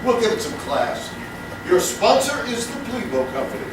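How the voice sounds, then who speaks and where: High-pitched showy man in a very echoey hall. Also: very animated, normal speed. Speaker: comedic guest performer in a live stage show. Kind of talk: scripted comedy banter and mock sponsor commercial. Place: live stage in a theater, before an audience. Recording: very echoey hall, distant mic, noisy.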